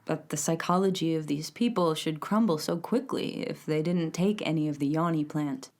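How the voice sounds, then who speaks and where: Grim, opinionated interviewee female actor: notably deep for a woman, deliberate, pointed, audio drama testimony. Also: a little mellow.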